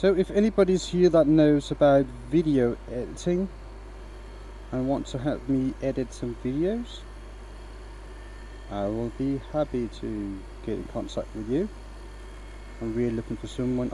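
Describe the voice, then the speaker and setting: A man from Denmark with a light Danish accent in a workshop, casually talking viewers through his woodturning. His voice is mellow and mid-pitched, and his manner is relaxed as he keeps checking in with "right?". Faint workshop noise sits under the voice. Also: normal speed, poor audio. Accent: light Danish, mostly a mix of English accents picked up online. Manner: relaxed, explains as he works, asks "right?" often. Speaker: woodturning livestream host. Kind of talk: casual live-stream talk while working.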